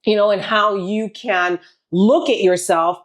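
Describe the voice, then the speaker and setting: A woman's voice: medium pitch, steady tone, unhurried. A woman from Haiti hosting a podcast, talking in an earnest, emphatic way with many restarts and repeated words. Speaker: podcast host. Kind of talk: casual heartfelt conversation.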